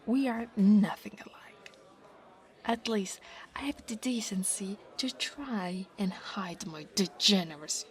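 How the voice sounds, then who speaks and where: A woman voicing an insecure young dragon girl in an ASMR roleplay, haughty and defensive, stammering when flustered and often dropping toward a breathy whisper. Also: medium pitch, slow pace, close to an everyday voice. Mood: flustered, threatening. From Italy. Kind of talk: scripted ASMR monster roleplay, acting one side of a conversation.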